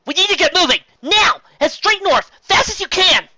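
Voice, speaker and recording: Narrator doing a high, forceful young unicorn mare. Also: expressive, normal speed, dry recording, full character voice. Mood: urgent. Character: earnest young female unicorn pony, bossy leader.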